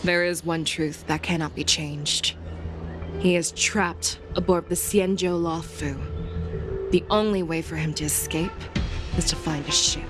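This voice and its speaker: A woman playing a shrewd, composed military commander in a video game, speaking with measured authority. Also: sits low, steady tone. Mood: composed, resolute. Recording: music under the voice.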